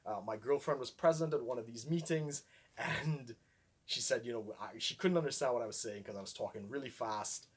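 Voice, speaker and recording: A man's voice: mid-range voice, fairly quick. Trinidadian man with projected voice. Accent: light Trinidadian. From Trinidad and Tobago. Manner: clear projected speaking voice, frequent ums and laughs. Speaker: vlogger. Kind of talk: casual to-camera vlog.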